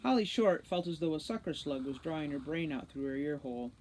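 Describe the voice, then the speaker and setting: A woman reads a storybook aloud with expressive delivery. Her voice sits on the low side, and she tells the tale like someone reading to listeners. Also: a little fast, slight background noise, rough recording. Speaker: audiobook reader, teacher.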